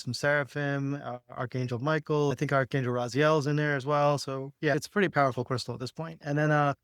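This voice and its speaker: A man's voice: mid-range voice, clean tone, dry recording, clean studio sound. A man speaking casually and in a laid-back way about spiritual matters, as a podcast host and healer guiding a session.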